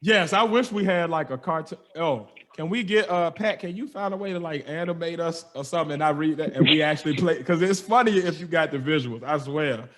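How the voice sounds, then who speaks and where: A young man hosting a podcast, telling a wild story in casual slang, animated and laughing as he goes. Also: a little high, brisk, hardly any pauses.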